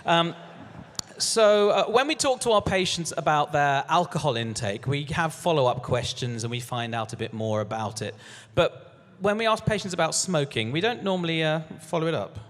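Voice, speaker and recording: A man's voice: a little high, animated, moderate pace, fairly bright tone, in a large hall. A man giving a comic musical stage presentation, casual, dry and a little hesitant. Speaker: musical comedian and anaesthetist. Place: live on stage. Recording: piano music, live stage performance. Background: piano.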